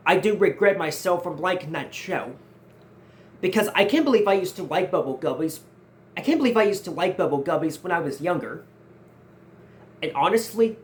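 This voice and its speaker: Young man, 19, high for a male voice, earnest Q&A host who restarts his sentences. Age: 19, nearly 20. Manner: earnest, repeats and restarts phrases often. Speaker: channel host answering viewer questions. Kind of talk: casual Q&A vlog.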